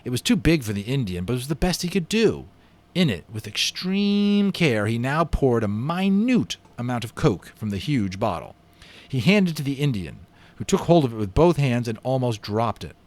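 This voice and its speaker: A man's voice: mid-range voice, very animated, moderate pace. A man reading a children's story aloud with enthusiastic, dramatic expression and casual asides. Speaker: amateur voice actor reading a children's book aloud.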